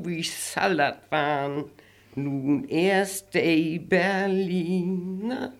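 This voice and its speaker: Older woman, Low German accent, measured sing-song recitation, low pitch. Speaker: interviewee reciting dialect verse. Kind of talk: slow reciting of rhymed dialect poems.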